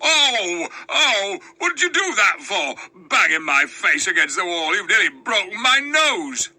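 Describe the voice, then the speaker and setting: A man's voice: high voice, sing-song, unhurried, pushed and bright, voice pushed up, exaggerated voice, poor audio. A man voicing a surly, suspicious, quarrelsome dwarf in a storybook reading, with scornful, indignant, colloquial speech. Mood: howling, aggrieved.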